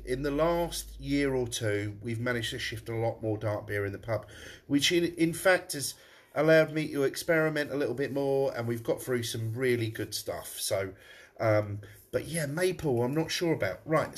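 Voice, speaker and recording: A man with a stuffed-up nose talks in a loose, rambling way, restarting his sentences often. He sounds like a pub landlord chatting to viewers from a room upstairs. His voice sits at an ordinary middle pitch. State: blocked nose. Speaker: pub landlord reviewing beer on a live stream. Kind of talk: casual live-stream beer tasting.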